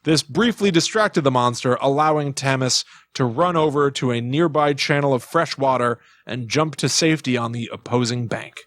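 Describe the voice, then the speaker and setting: A man's voice: medium pitch, moderate pace, few pauses, fairly bright tone, dry recording, studio quality. A man hosting a podcast, telling stories in an informal, joking, conversational way. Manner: informal, joking, uses playful quotes. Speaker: podcast host. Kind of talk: casual storytelling chat with a co-host.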